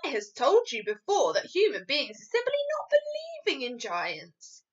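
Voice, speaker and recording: A woman's voice: on the high side, huge pitch swings, normal speed. A woman voicing a kindly, sad and stubborn giant from a storybook, with rustic, muddled grammar and emphatic delivery. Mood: doubtful, explaining. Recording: dull lo-fi recording.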